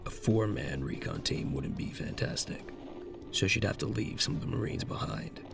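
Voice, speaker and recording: A man narrating a scripted science fiction audio drama in a measured storytelling manner. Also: sits low, lively intonation, gravelly, over loud music.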